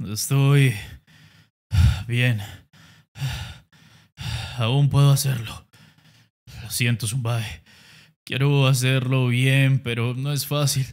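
A reader voicing a stubborn young male boxer, speaking low and steady. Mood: strained. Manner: low, slow, steady inner monologue.

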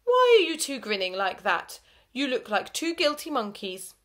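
A woman's voice: fairly high pitch, expressive, a little slow, fairly bright tone. A woman voicing a strict middle-aged female schoolteacher who flies into shrieking fury, in a read-aloud story. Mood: suspicious, stern. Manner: shrieking when angry.

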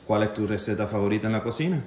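A man's voice: on the low side, rapid-fire, darkish tone. A man acting as interviewer, reading out short, casual personal questions. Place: home kitchen. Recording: echoey home kitchen, faint noise.

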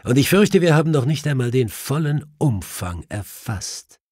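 A man voicing a grave, inquisitive Victorian gentleman detective in an audiobook, speaking formally and with emphasis. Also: mid-range voice, sing-song, moderate pace, husky, no echo, studio quality, pitched above the performer's own voice. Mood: grave, emphatic. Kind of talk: audiobook character voice.

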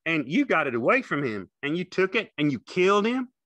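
Casting: male reader as blunt, insensitive ranch hand, audiobook; pushy, raised pitch, sharp tone. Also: sing-song, fairly quick, dry recording. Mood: insistent. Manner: blunt, insistent questioning. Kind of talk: audiobook character acting.

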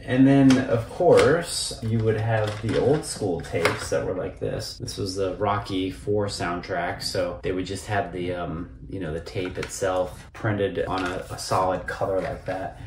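A man's voice: low-pitched, measured pace, hardly any pauses. A distant, echoey and noisy home-office recording of an American man in his fifties. He talks casually to the camera like a vlogger. His delivery is conversational and nostalgic, wandering from thought to thought. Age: 50s. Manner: rambling, conversational. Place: small home office, indoors.

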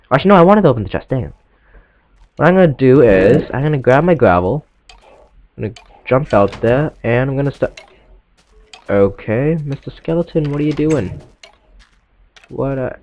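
A teenage boy who sounds stuffed up from a cold, rambling apologetically and poking fun at himself while commenting on his gameplay. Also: medium pitch. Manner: rambling, self-deprecating and apologetic. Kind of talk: casual let's-play commentary. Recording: lo-fi recording with faint game music.

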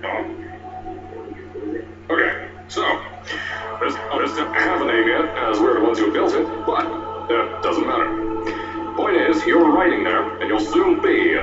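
A brash middle-aged company founder, voiced by a man, greets his recruits in a boastful, booming salesman pitch. His voice sits at an ordinary middle pitch and comes from a distance in a roomy, dull-sounding space, with loud music underneath. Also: big pitch swings, a little slow, long pauses. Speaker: game character in a fan-made mod.

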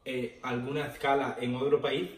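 Man putting on a halting airline agent, echoey and distant. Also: mid-range voice, level tone, close to an everyday voice. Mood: formal, polite. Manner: formal, halting, hesitant. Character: polite Galician airline agent, apologetic man. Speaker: prank caller posing as airline agent. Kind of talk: improvised prank phone call. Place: living room, indoors. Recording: roomy, far from the mic.

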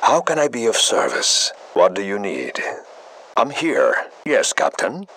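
An actor playing a smooth, menacing, proud special-forces officer in a video game, speaking slowly and deliberately. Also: male voice, fairly low pitch, wildly swooping pitch, a bit bright, a little hiss or hum, heavily acted. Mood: obliging. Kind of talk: video game unit voice acting.

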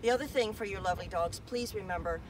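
A woman's voice: medium pitch, fast. American woman talking outdoors, off the mic. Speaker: fitness department staffer presenting a dog hiking safety video. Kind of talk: informal outdoor safety talk to camera. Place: outdoors in open desert by trails and a dirt parking lot. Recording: far from the mic, faint outdoor noise.

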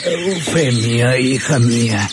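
An ailing old Mexican rancher in a radio serial. He is anxious and frail, with a gravelly rural voice and faint noise behind him. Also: medium pitch, takes it slowly. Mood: worried. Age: older. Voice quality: creaky. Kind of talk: old Spanish-language radio serial acting. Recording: noisy old radio drama recording.

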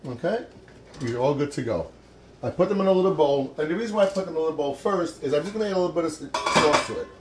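Middle-aged male cooking host, chatty and joking, a bit higher voice, home kitchen. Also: background noise.

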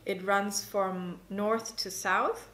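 A woman in her thirties being interviewed as a winemaker, explaining informally and pausing to think as she goes. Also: medium pitch, steady tone, a bit bright. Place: indoor tasting room with wooden table. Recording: roomy, echoing indoor sound.

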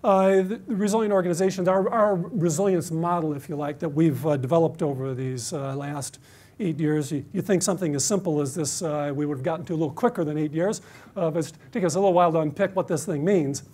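Middle-aged American male academic, fairly high-pitched, hesitant conference speaker at a lectern. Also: fast, mellow. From Detroit, USA. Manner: hesitant, many "uh" fillers and restarts. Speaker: university researcher giving a conference talk. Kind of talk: conference presentation. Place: conference stage with dark curtains.

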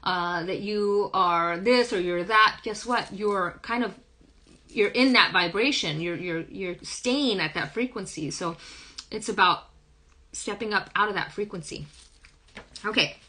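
A woman in her thirties with a hoarse, croaky voice, chatting casually through a live-stream reading in a small wood-paneled room, recorded on a phone. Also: medium pitch, measured pace, bright, forceful tone. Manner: chatty, rambling, hums song lyrics. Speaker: spiritual reader. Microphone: phone camera at arm's length.

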